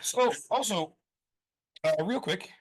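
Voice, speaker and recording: A man's voice: high-pitched, lively intonation, takes it slowly. A man rambling casually on a podcast as a guest, swearing freely, with lots of ums and restarts. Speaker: guest explaining business topics.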